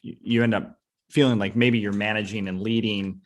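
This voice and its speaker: A man's voice: fairly low pitch, steady tone, normal speed. A man hosting a podcast, asking long, rambling questions full of repeated words. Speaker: podcast host, company founder. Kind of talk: podcast interviewing.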